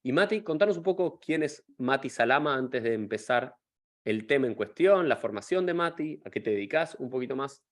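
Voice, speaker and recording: A man hosting an interview podcast, with a mid-range pitch. He piles question on question in long, rambling runs. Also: expressive, brisk. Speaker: podcast host.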